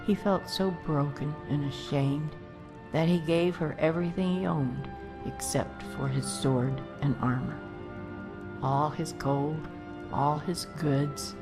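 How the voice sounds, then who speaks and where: Casting: actress for elderly grandmother adventurer, game companion, low register, leisurely storyteller, feisty but grandmotherly, faint music underneath. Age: older. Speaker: companion character in a game mod. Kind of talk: voiced game character dialogue, storytelling.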